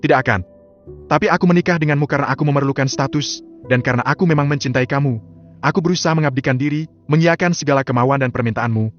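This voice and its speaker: A man voicing a scornful businesswoman wife who is fed up with her husband, asking sharp, accusing questions. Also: medium pitch, quick pace, voice pushed up, lightly acted. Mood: bitter. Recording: slightly muffled, soft music behind.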